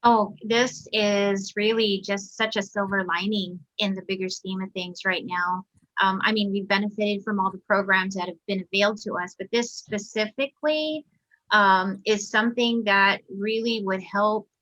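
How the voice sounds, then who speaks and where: A woman's voice: medium pitch, fairly even intonation, moderate pace, a bit bright. A woman who runs a restaurant and catering business, speaking earnestly and hopefully in an interview. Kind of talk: interview answer. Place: video call. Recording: dull lo-fi audio.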